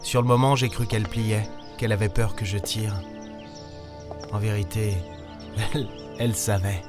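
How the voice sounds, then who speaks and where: A man's voice: on the low side, fairly even intonation, moderate pace, close to an everyday voice. Music runs under a male actor as a jaded, sardonic space captain in a science-fiction audio drama. He is blunt and colloquial, wary of everyone, and tells his own story between exchanges. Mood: grave recollection. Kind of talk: French sci-fi audio drama, acting and first-person narration.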